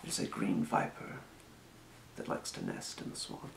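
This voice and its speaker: A man playing a calm fantasy potion shopkeeper in a breathy, measured, courteous whisper for an ASMR role play. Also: roomy sound, a little hiss or hum, close to an everyday voice. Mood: calm, helpful. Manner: measured, murmuring, courteous merchant patter. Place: small indoor room.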